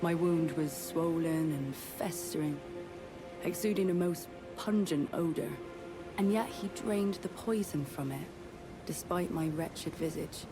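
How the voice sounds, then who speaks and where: A woman playing a devoted, composed warrior in a fantasy game, speaking in a measured, formal and earnest manner. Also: deep voice, music in the background, rough recording. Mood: earnest, courteous. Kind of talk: dramatic fantasy game dialogue.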